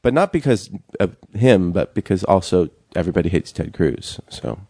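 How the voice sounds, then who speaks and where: A young man co-hosting a casual chat show, talking haltingly with many fillers and restarts. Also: low voice, sing-song, darkish tone, breathy edge, no echo, studio quality. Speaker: podcast co-host.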